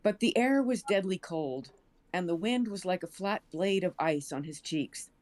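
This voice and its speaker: A woman's voice: lowish voice, lively intonation, moderate pace, a little mellow. An American woman reading fiction aloud as a storyteller. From United States. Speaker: show cast member reading a story aloud.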